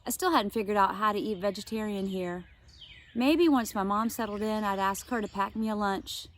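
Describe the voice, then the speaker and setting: A woman's voice: mid-range voice, moderate pace. A woman teacher expressively reading a children's novel aloud, adding casual asides for her listeners.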